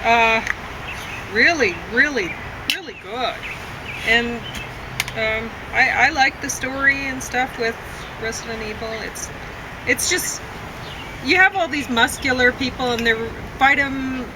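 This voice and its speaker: A woman's voice: on the high side, measured pace, long pauses, background noise. A woman reviewing films in a casual, unscripted chat, rambling and often correcting herself as she goes. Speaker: film reviewer.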